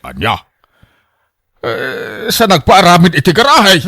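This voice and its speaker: A man's voice: sits high, huge pitch swings, a little slow, sharp tone, no room sound, studio quality, full character voice. A man with an Ilocano accent playing a nosy, puzzled older villager in a radio drama, full of surprised questions. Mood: curious, surprised. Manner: questioning, exclamatory.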